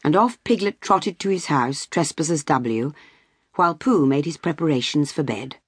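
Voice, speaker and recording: A woman narrating a children's story in a husky voice. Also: low-pitched, lively intonation, moderate pace, no room sound.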